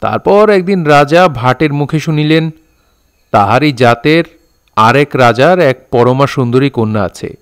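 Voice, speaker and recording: A man playing a patient elder telling a folk tale in deliberate, old-fashioned Bengali diction in an audio drama. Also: mid-range voice, expressive, clear, resonant tone, lightly acted. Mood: patient, improvising. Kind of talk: storytelling within an audio drama.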